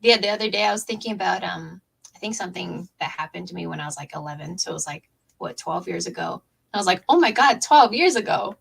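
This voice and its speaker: A young woman hosting a podcast, chatting casually with quick, bubbly reactions. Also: fairly low pitch, animated, gravelly, breathy edge. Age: young adult. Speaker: podcast host.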